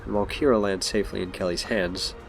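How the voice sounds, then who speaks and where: A man's voice: low-pitched, steady tone, fast talker, gravelly. A man reading scripted story narration for an audio drama. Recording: music behind.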